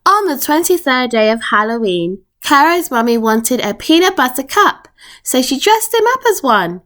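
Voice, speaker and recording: A woman reading a children's picture book aloud in an expressive storytelling manner. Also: sits high, moderate pace. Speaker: storytime reader.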